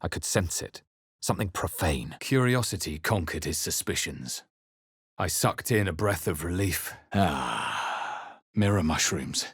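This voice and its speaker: A man voicing a wary, brooding adventurer hero in a fantasy video game, narrating in the first person with dramatic, measured intensity. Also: sits low, expressive, fairly bright tone, slightly rough, dry recording, clean studio sound, heavily acted. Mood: grave, brooding. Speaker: video game player character voice.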